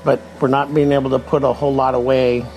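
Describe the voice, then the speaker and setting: A man's voice: mid-range voice, little pitch movement, fast, a little mellow, soft background music, low-quality recording. A sixty-year-old man giving casual answers as an interviewed member of the public. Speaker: poll respondent.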